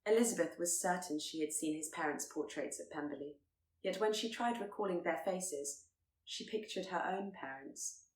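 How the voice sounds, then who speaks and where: A woman's voice: on the low side, normal speed, roomy sound, rough recording. A woman reading a period romance story aloud as scripted narration. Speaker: audiobook narrator.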